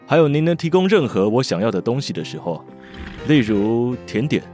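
A man's voice: mid-range voice, very animated, brisk, soft background music, close to an everyday voice. A man with a Taiwanese Mandarin accent voicing a composed adult private tutor who teases dryly but looks out for his charge. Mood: smooth. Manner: dry, teasing, gently caring. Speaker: voice actor. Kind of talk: amateur radio drama acting.

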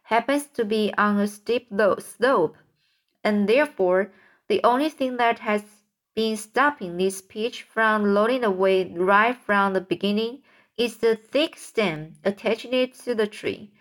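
A woman voicing a kindly, motherly female ladybug in a storybook reading, reassuring and halting now and then. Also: mid-range voice, natural voice. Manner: reassuring, hesitant with fillers.

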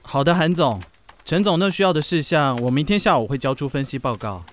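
A man's voice: on the high side, animated, fairly quick, lightly acted. An actor playing a dutiful, harried male office assistant in a radio drama, polite and easily flustered. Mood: polite, businesslike. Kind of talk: Mandarin radio drama acting.

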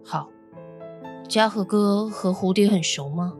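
Quiet teen boy sleuth, terse, understated, audio drama. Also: high voice, a little slow, soft background music. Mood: quiet, pensive. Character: reserved teenage boy investigator who sees spirits. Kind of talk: Mandarin audio drama acting.

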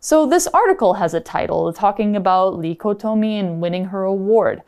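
A woman's voice: mid-range voice, expressive, normal speed, darkish tone, clear, resonant tone. A woman co-hosting an English-learning podcast, patiently explaining vocabulary in a casual chat. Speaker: podcast co-host teaching English.

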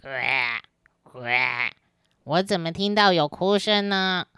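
An enchanted male frog voiced by a woman bargains plaintively in a children's radio play. The delivery is very slow and deliberate. The tone is bright and clean, recorded close and dry. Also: on the low side, expressive, clear, resonant tone, no echo, pitched below the performer's own voice, full character voice. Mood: calling out. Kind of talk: children's audio drama.

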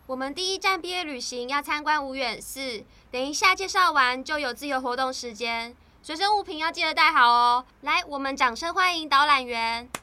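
A woman voicing a brisk schoolteacher giving instructions to her students on a trip. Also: high voice, pushed and bright. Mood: instructing.

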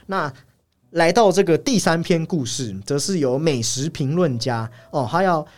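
A man co-hosting a podcast, casually discussing film in an analytical way and often repeating his agreement in quick strings. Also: a little high. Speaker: podcast co-host. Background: background music.

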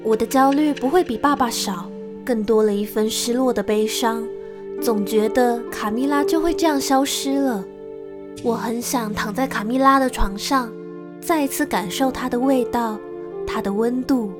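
A woman acts out an anxious young girl telling her gothic story. The voice is very high and full of devotion, in radio-drama style, with music clearly playing underneath. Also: normal speed, close to an everyday voice. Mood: wistful, anxious. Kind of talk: Mandarin radio drama acting and narration.

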